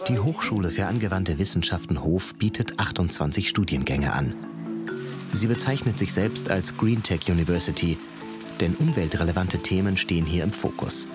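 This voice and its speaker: A male narrator with a very low voice reads a scripted documentary text. Music plays clearly behind him. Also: moderate pace. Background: background music.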